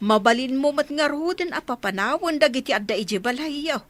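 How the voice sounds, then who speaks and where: A woman's voice: high-pitched, animated, normal speed, no room sound. A woman playing a frank, sympathetic confidante in a radio drama.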